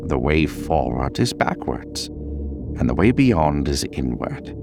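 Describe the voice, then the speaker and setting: A man playing a cryptic, disdainful Count who delivers hard truths in a grave, measured, condescending way in an audio story. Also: very deep voice, very animated, over music, exaggerated voice. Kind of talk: dramatic audio fiction.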